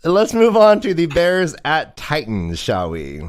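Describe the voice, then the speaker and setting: Smooth, clear-voiced man hosting casual sports talk. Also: a little high, huge pitch swings, a little slow. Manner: chatty, asks co-host questions. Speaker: livestream host. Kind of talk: casual fantasy football discussion.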